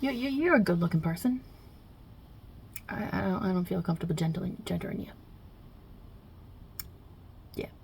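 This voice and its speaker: A woman's voice: fairly low pitch, animated, moderate pace, vocal fry, pauses often, a little mellow, slight background noise. A woman voicing God as a brash, short-tempered, non-binary Italian mob boss in an ASMR roleplay, with a put-on Italian-New York accent and a blunt, chummy, rambling delivery. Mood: matter-of-fact. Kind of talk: ASMR roleplay interview, improvised.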